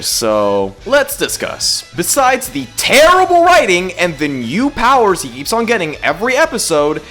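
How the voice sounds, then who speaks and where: A YouTube host delivering a comedic scripted rant in a theatrical, exaggerated way, with drawn-out words and outbursts. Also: medium pitch, a bit bright. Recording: music behind the voice. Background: background music.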